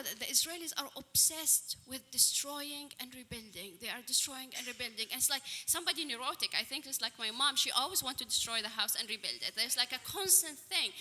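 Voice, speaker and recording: A woman's voice: high-pitched, sharp tone. A woman on a writers' panel who rambles, repeats words and thinks aloud as she talks. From Palestine. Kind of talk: panel discussion. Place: stage.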